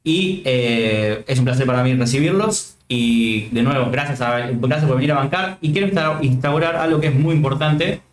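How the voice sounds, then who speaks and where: A man's voice: medium pitch, normal speed, hardly any pauses. Easygoing Argentine man hosting in a roomy set. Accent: Argentine Spanish. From Catamarca, Argentina. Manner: relaxed, thankful, joking. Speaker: stream host. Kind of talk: casual stream chat. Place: home streaming set.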